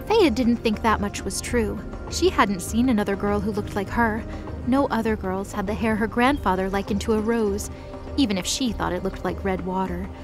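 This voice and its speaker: A woman's voice: mid-range voice, moderate pace, music in the background. A woman narrator reading a scripted fantasy story.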